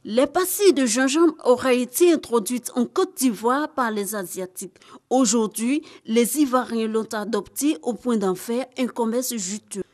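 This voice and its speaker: A woman's voice: fairly high pitch, darkish tone. Woman reporter. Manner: measured, formal delivery. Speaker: news reporter. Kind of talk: scripted news narration.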